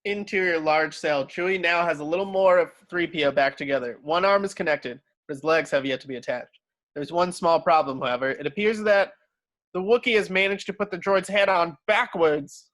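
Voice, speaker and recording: A man's voice: fairly high pitch, fairly quick, a bit bright. A man narrating screenplay stage directions in an amateur table read, sometimes stumbling and rereading. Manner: stumbles over words and rereads.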